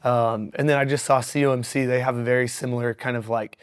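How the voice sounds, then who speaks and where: A young man with a hoarse, raspy voice from yelling, chatting upbeat and animated as a dentist guest on a local talk show. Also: medium pitch, fast talker. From Missouri Ozarks. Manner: upbeat, animated, many fillers. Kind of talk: casual interview.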